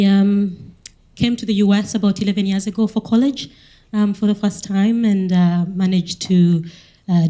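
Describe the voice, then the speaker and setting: Woman from Uganda, thirties, stuffy nose, low mellow voice, hesitant panel remarks. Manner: hesitant, many ums and uhs. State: has the sniffles. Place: conference hall.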